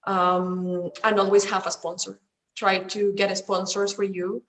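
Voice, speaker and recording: A woman's voice: mid-range voice, level tone, unhurried, echoey room, rough recording. A woman speaking as a finance panelist on a video call, giving firm advice in short emphatic statements.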